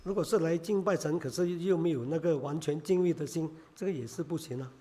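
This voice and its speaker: Hoarse man preaching, mellow voice. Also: a little high. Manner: halting, many filler sounds. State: hoarse from a cold. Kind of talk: sermon in Chinese.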